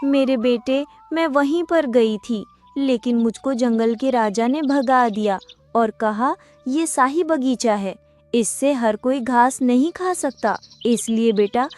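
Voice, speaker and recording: A woman's voice: fairly high pitch, takes it slowly, a little mellow, soft background music. A woman voicing a mother goat in a Hindi cartoon who argues back against an unjust king. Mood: pleading. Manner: pleading, then defiant and cursing. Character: wronged mother goat, proud and defiant.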